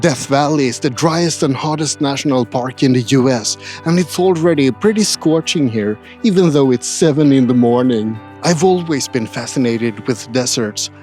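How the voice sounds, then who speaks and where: A man's voice: mid-range voice, normal speed, few pauses, music in the background. A man from Sweden, narrating his travel vlog in a dry, wry and grumpy humor. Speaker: travel vlogger.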